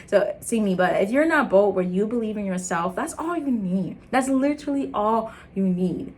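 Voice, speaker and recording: A young woman with an American accent, born in Liberia and raised in the States, talking to camera in an earnest, animated motivational vlog. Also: normal speed. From Liberia, raised in the United States. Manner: earnest and animated, laughing, jumping into high excited bits. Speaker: content creator. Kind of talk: casual motivational vlog talk.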